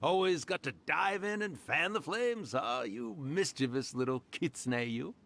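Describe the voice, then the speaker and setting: A man playing a mischievous, boastful fox-spirit yokai in a video game, with a theatrical, teasing, drawn-out delivery. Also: on the high side, vocal fry, a bit bright. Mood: playful teasing. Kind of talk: video game character acting.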